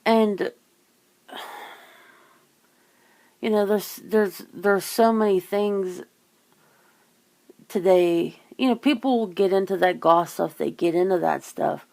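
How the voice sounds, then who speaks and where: A woman's voice: mid-range voice, takes it slowly, creaky, long pauses, mellow. A woman having a casual phone chit-chat, rambling and teasing, with some casual swearing. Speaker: ASMR channel host.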